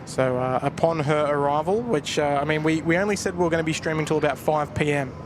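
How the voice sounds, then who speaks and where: A man's voice: on the high side, fast. Chatty Australian man spotting planes. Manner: chatty, thanks viewers, many fillers. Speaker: live stream host and plane spotter. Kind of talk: casual live commentary. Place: outdoors at an airport viewpoint. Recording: outdoor noise with traffic and aircraft.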